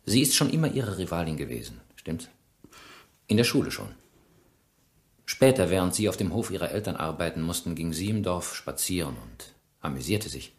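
Low-voiced, sardonic police inspector. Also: male voice, very animated, normal speed. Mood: firm, accusing. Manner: probing, ironic, insistent interrogator. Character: shrewd, relentless male French police inspector, sardonic and probing. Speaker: actor in a radio play. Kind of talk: German radio crime drama acting.

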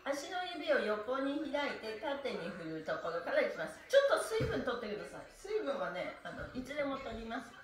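A cheerful middle-aged woman leads a stretch class in a high, lively voice, playful and chatty, laughing often. She is heard from across a small room with a strong echo and faint music behind her. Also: a little fast, few pauses. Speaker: stretch instructor. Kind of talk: live-streamed stretch lesson. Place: small room at home, on a floor mat. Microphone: camera across the room. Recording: roomy echo, far from the mic, faint music.

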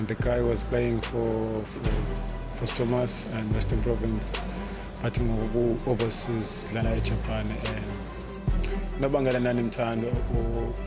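A young man whose first language is Xhosa, with a Xhosa accent, speaking hesitantly in a sit-down interview. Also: mid-range voice. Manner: hesitant, searching for words. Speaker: rugby player interviewee. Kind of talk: sit-down interview, code-switching. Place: empty stadium stands, outdoors. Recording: music bed under the voice. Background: loud music.

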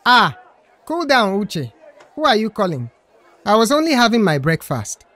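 A man's voice: high-pitched, big pitch swings, normal speed, pushed and bright, a faint crowd, pitched above the performer's own voice, full character voice. A man voicing a brash male workshop slacker in an audio story, glib and protesting. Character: slacking male car washer, brash and full of excuses.

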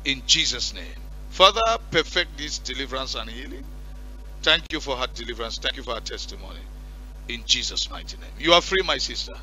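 A male pastor leading a deliverance prayer and counseling on stage through a headset mic, commanding and forceful. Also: on the high side, rough recording. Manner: commanding, shouting at spirits. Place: church stage with curtain backdrop.

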